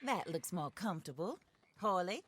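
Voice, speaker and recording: An actress playing a wealthy, genteel widow set on revenge, speaking in a refined, formal, well-spoken manner. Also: female voice, on the low side, lively intonation, moderate pace, fairly bright tone, slight background noise. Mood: calm, composed. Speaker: patron hiring outlaws. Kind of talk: video game cutscene acting.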